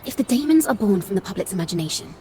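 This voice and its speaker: A woman's voice: medium pitch, big pitch swings, racing, mellow, slight background noise, close to an everyday voice. A woman voicing a cheerful, musing young wandering musician in a video game, with lyrical, theatrical phrasing. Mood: musing, inspired. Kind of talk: video game character dialogue.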